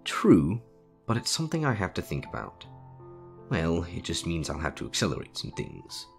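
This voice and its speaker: A man acts out a calm, wise older wizard headmaster in an audio story. The voice is very deep and creaky, speaking in measured, sober phrases that rise and fall expressively. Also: music in the background, heavily acted. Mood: thoughtful. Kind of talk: dramatic fan fiction audio reading.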